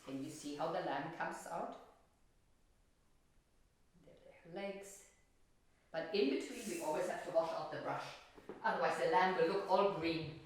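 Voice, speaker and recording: A very echoey, distant recording of a woman teaching children to paint. Her voice sits slightly low and moves with lively intonation. She is gentle and encouraging, addressing viewers in simple words as she demonstrates. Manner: encouraging, talking to viewers with simple questions and remarks. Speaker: art teacher. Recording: very roomy, echoey, distant microphone.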